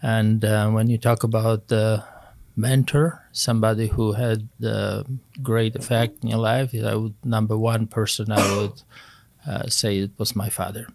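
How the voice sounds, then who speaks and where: A man of about seventy from Poland, with a Polish accent, speaking as an interview guest in a measured way and searching for his words. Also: on the low side, little pitch movement, creaky, darkish tone, slight background noise. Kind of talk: interview, recounting family history.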